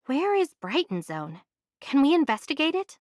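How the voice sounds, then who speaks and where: Young anime game heroine, kinda high voice, dry close recording, practical and curious, casual. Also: female voice, very animated, normal speed, dry recording. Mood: curious, focused. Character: level-headed young female adventurer, practical and inquisitive. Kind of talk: anime video game voice acting.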